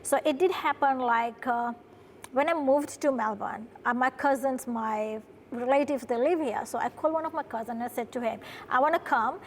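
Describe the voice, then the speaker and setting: A woman's voice: high-pitched, moderate pace, a little hiss or hum. A woman from Fiji telling her story in an earnest interview, often adding "right?" as she talks. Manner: earnest, tag questions with "right?". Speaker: guest, production company founder. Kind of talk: interview conversation. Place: studio.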